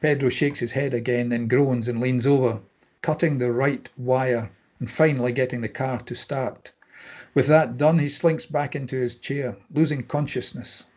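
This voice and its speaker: A man's voice: medium pitch, fairly even intonation, moderate pace, darkish tone. A man narrating a screenplay, reading scene headings and stage directions at a table read.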